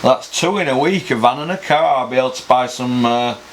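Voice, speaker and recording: A man's voice: medium pitch, brisk, slight background noise. A man hosting his own boxing talk show, ranting bluntly with lots of swearing and rhetorical questions. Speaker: boxing pundit host. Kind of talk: opinionated casual rant.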